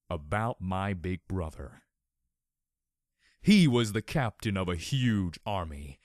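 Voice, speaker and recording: A man's voice: lowish voice, darkish tone, no echo, studio quality. A man narrating a story in a theatrical, mock-serious, overly dramatic style.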